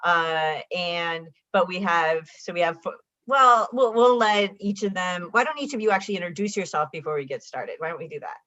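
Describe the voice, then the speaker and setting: A woman's voice: on the low side, moderate pace, pushed and bright. A woman professor co-hosts a live online panel over a video call. She talks in a loose, chatty way, often restarting her sentences. The sound is slightly dull and lo-fi. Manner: chatty, with frequent restarts and fillers. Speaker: math professor and co-host.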